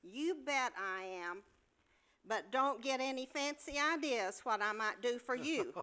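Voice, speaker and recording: A woman's voice: a little high, lively intonation, measured pace, sharp tone, clean tone. A woman playing a blunt, bossy, no-nonsense nurse in a staged play reading. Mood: brusque. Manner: blunt, threatening.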